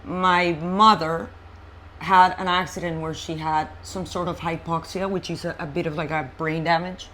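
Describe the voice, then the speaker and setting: A woman from Colombia, a native Spanish speaker, telling her life story as an interview guest in an animated, halting way with frequent restarts. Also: lowish voice, slight background noise. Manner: animated, halting, many fillers and restarts, frank. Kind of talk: personal storytelling in conversation.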